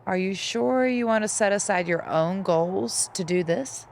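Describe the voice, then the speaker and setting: A woman's voice: mid-range voice, measured pace, creaky, slight background noise. An actress playing a resigned veteran wrestler, subdued and hesitant in her speech. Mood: doubtful. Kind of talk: scripted game cutscene dialogue.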